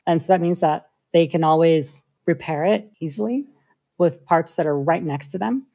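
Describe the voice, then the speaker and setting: Gravelly, husky woman. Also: low voice, moderate pace, warm tone. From United States. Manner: thoughtful, many filler likes. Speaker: guest, software engineer. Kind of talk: casual interview talk.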